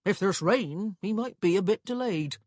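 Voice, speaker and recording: Nosy coach clerk guy done by a woman narrator, chatty, rambles on, kinda raspy, audiobook. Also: mid-range voice, lively intonation, moderate pace, darkish tone, no echo, clean studio sound, voice pushed up, close to an everyday voice. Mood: easygoing, long-winded. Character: nosy, long-winded, officious male stagecoach clerk. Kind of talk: acted audiobook dialogue.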